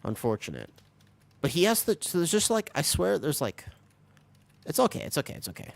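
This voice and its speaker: Man, raspy voice, live gameplay commentary, chatty, explains tactics, keyboard typing. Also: a little high, wildly swooping pitch, a little fast, no room sound. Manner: chatty, explains tactics while playing, many fillers. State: recovering from being sick, raspy voice. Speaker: streamer playing a tank.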